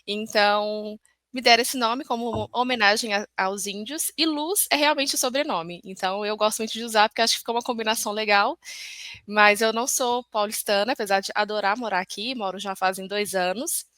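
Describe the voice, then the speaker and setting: A woman's voice: mid-range voice, brisk, a bit bright, no room sound. A woman from Minas Gerais with a Brazilian Portuguese accent, a guest chatting in a friendly way and often saying "né" and "então", on a remote call from home. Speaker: guest entrepreneur. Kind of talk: casual interview chat.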